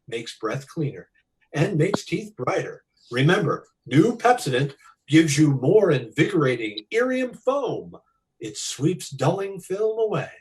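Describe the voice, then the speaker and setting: A man's voice: a little high, darkish tone, poor audio. A man announcing in a polished, salesmanlike 1940s radio style.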